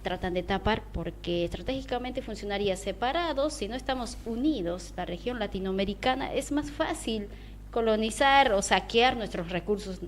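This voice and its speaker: A woman giving her opinions in a lively, searching way on a radio discussion. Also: mid-range voice, moderate pace, a little hiss or hum. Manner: animated, opinionated, searching for words. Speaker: radio host.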